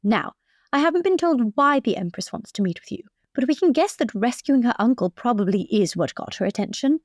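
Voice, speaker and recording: A woman's voice: on the high side, expressive, fairly quick, no echo, clean studio sound. A woman playing a proper, bossy noblewoman in a role-play drama, formal and admonishing but fond. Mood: instructing.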